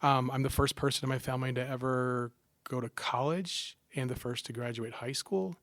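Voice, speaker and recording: A middle-aged man trades stories in a relaxed interview, his voice sitting at an ordinary pitch. He jokes at his own expense and tosses in quick asides. The sound is close and dry, with no room around it. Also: no room sound. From Southern California. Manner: animated, self-deprecating jokes, quick asides. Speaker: guest, psychology professor. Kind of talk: casual interview storytelling.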